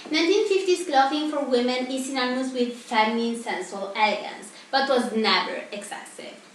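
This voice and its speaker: A woman's voice: high voice, normal speed. Echoey young woman presenting a script. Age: teenager or young adult. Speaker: student presenter of a mock 1950s TV broadcast. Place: echoey indoor room. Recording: very echoey room, distant mic, faint noise.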